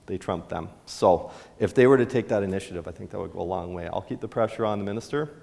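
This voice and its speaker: A man's voice: low voice, quick pace, vocal fry, a little mellow. A middle-aged man from Canada, a town mayor, answering questions at a town hall in a casual, rambling way with many restarts. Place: large indoor hall with a stage. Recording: long hall reverb.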